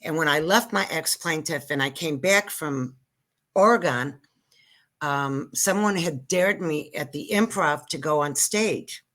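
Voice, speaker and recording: An older woman from Israel, a Hebrew native speaker, speaks as a guest comic on a casual show. Her voice sits low but comes across bright and crisp. She rambles, cuts herself off, and jokes her way through the story. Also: a little slow. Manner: rambling, self-interrupting, joking storyteller. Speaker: guest comedian. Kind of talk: casual interview chat.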